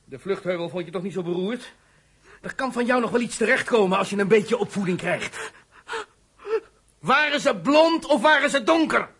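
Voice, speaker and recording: A man playing a stern, insistent police detective in a radio drama, with a husky voice. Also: high-pitched, lively intonation, normal speed, a bit bright, full character voice. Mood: pressing. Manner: insistent, interrogating. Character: stern, pressing police detective interrogating a suspect. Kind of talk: Dutch radio drama acting.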